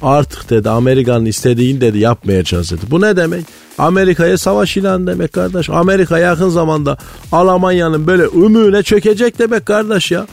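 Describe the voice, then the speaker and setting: A man's voice: on the high side, quick pace, gravelly. Man acting a provincial conspiracy guru, eastern Anatolian Malatya accent, folksy, earnest, rambling, radio comedy, faint music. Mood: conspiratorial, insistent. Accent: Malatya, eastern Anatolian Turkish. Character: pious, conspiracy-minded provincial male economy guru, rambling storyteller. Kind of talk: comic radio character monologue. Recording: studio voice over background music.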